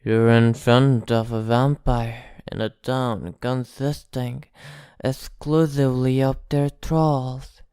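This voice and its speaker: Woman acting a haughty, low-voiced, possessive vampire. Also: level tone, slow pace, clear, resonant tone, no room sound, clean studio sound. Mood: smug, commanding. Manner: haughty, teasing, softly threatening. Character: possessive, arrogant vampire captor, smug and menacing. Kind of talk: ASMR roleplay acting.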